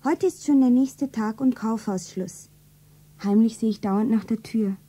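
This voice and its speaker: Eine Schauspielerin spielt in einem Hörspiel eine junge, naive Verkäuferin, zärtlich und sentimental verliebt, mit weicher Stimme. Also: female voice, fairly high pitch, a little slow, warm tone, breathy edge. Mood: dreamy, hopeful. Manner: naive, sentimental, self-narrating. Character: slender young shopgirl, tender, wistfully devoted lover. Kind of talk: German radio play acting.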